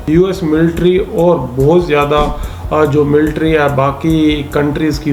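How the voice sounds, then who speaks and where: Higher-voiced man explaining in an echoey room. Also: a little mellow. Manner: didactic, poses questions then answers them. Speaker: host explaining current affairs. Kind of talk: explainer commentary. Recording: roomy echo, faint background noise.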